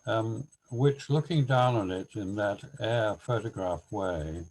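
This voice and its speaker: A man giving a reflective, measured comment in an online discussion, pausing hesitantly as he thinks. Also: lowish voice, darkish tone, slight background noise, rough recording. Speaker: webinar participant.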